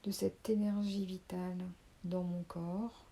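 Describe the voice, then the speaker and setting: A woman leading a guided sophrology relaxation, speaking softly and calmly and sometimes dropping to a whisper. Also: on the low side. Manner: slow, soft, calming, with whispered phrases. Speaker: sophrology teacher.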